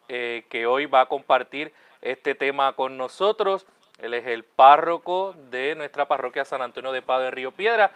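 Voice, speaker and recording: A man, a friar hosting a talk show. His voice is mid-pitched and smooth. He speaks in a friendly way, often tagging his sentences with a question, in a dry, close recording. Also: fairly bright tone, no room sound. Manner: friendly, repeats "¿verdad?" often. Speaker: host, Capuchin friar.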